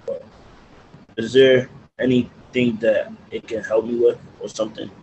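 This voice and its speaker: A man from New York, an acting student, speaking casually and haltingly as he asks for help. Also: medium pitch, little pitch movement, a little mellow. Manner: halting, hesitant. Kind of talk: casual, tentative question. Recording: noisy mic with room ambience.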